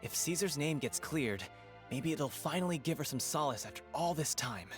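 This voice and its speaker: A man's voice: fairly high pitch, expressive, normal speed, music in the background, close to an everyday voice. An actor playing a chatty, opinionated townsman in a video game, emphatic and colloquial. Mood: enthusiastic. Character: chatty, opinionated townsman, animated and self-assured.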